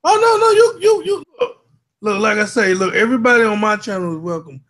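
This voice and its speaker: A man's voice: high-pitched, animated, brisk, fairly bright tone. A man hosting a casual chat, talking in a loose, slangy way and repeating himself often. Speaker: host.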